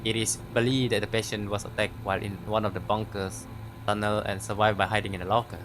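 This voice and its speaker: Low-voiced man, noisy gaming commentary. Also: level tone, fast. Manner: stumbling read-aloud, swears freely. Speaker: gaming video host. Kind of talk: casual let's play commentary with reading aloud.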